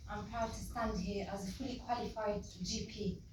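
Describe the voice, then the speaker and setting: A woman's voice: medium pitch, steady tone, moderate pace. Woman GP, award speech, distant mic in a reverberant hall, noisy. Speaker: award recipient, doctor. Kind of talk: acceptance speech. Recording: echoey hall from far off.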